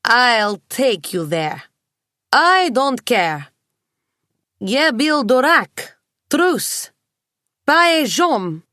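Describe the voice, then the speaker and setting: A woman voicing an exuberant, tipsy Russian man with a put-on Russian accent, in a loud, drawn-out, swooping way. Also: a little high, big pitch swings, dry recording, studio quality, voice pushed up, exaggerated voice. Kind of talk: audiobook character voice.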